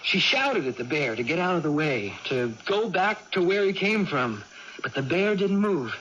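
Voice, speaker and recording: An actress playing an earnest, excitable young person with an emphatic, awed way of speaking in a radio drama. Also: female voice, on the low side, normal speed. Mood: amazed recounting. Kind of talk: 1980s radio drama acting. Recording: muffled, noisy old radio broadcast.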